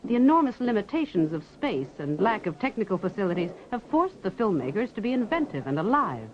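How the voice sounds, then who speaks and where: A woman reporter reads polished newsreel narration with a lively, animated lilt. Her voice sits in a middle register for a woman. The sound is muffled and dull, like a 1960s film soundtrack. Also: medium pitch. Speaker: reporter and presenter.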